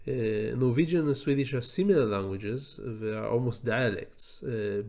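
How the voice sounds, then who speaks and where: A middle-aged man, a native Hebrew speaker from Israel, giving a slow, thoughtful solo talk on learning English, with frequent pauses and fillers. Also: mid-range voice, warm tone. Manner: thoughtful, many fillers and restarts, slow deliberate phrasing. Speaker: law professor teaching English learning tips. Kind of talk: solo educational talk to camera.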